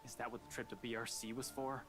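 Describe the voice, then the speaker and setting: A blunt, doubtful man acted by a man in a horror visual novel. His medium-pitched voice is matter-of-fact and discouraged. Music plays clearly behind him. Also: level tone, quick pace, lightly acted.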